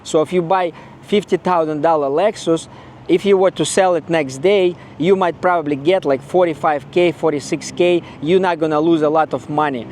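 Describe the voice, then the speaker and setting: Ukrainian-accented man, fairly high voice. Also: darkish tone, slight background noise. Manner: blunt, regretful, repeats himself. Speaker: car owner vlogger. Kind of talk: casual vlog monologue.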